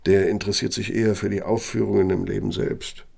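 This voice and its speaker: Deep, gravelly older architect. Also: male voice, level tone, a little mellow. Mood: defensive, proud. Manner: measured, self-righteous, dictating tone. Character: older self-justifying male architect, proud and bitter. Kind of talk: naturalistic radio play monologue and dialogue.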